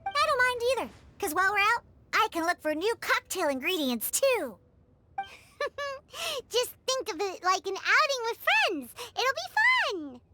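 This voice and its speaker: A woman voicing a tiny, cute, eager childlike girl with a bubbly, squeaky delivery in a video game. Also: very animated, a little slow, bright, forceful tone, slight background noise, exaggerated voice. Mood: excited. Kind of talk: scripted game cutscene acting.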